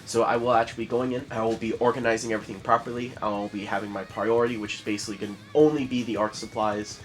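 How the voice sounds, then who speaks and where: Tired, sick young man talking fast. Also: steady tone, faint music behind. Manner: low-key, weary, rambling. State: sick and tired, sore throat. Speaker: artist vlogger. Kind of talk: casual vlog talk to camera. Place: his bedroom at home.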